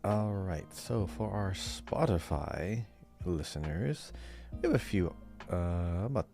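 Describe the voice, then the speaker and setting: A man's voice: low voice, expressive, slow pace, vocal fry, warm tone, faint music behind. A man co-hosting a podcast, chatting casually and reading out listener responses. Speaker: podcast co-host.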